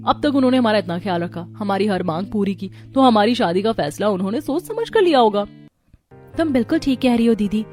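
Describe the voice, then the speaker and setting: A woman voicing young, expressive newlywed sisters in dramatized story dialogue. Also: medium pitch, lightly acted. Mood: questioning.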